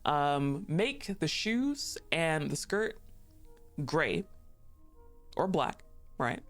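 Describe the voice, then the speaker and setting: A woman's voice: low voice, sing-song, very slow, soft background music. A woman streaming a game live, giggling often, swearing freely and gushing thanks to her chat.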